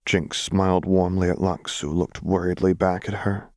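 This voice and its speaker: A man reading fiction aloud as an audiobook narrator, with expressive scripted delivery. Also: low-pitched, moderate pace, no room sound, clean studio sound.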